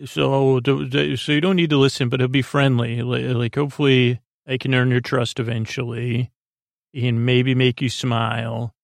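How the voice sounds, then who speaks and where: Meandering man with a gravelly, creaky voice. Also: medium pitch, little pitch movement, no room sound, studio quality. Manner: meandering, halting, trailing off and repeating himself; goofy, whimsical, self-deprecating. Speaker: podcast host. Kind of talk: rambling, unscripted bedtime podcast monologue.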